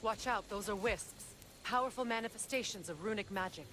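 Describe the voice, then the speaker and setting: An actress playing a battle-seasoned goddess archer in a video game, speaking in a measured, earnest, formal way. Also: female voice, mid-range voice, background noise, natural voice. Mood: alert, instructive. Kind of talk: video game dramatic acting. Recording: loud music behind the voice. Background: game music.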